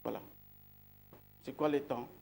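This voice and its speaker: A man giving a sermon who grew up outside Belgium. He speaks slowly and deliberately, repeats key words and asks his listeners rhetorical questions. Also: mid-range voice, gravelly, mellow, slight background noise. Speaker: preacher.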